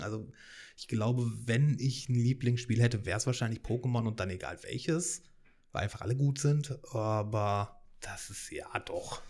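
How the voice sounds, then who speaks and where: A man's voice: medium pitch, vocal fry, darkish tone. A man from Hannover who hosts a podcast, talking casually in a rambling way with many fillers and restarts. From Hannover, Germany. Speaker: podcast host. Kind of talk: casual monologue.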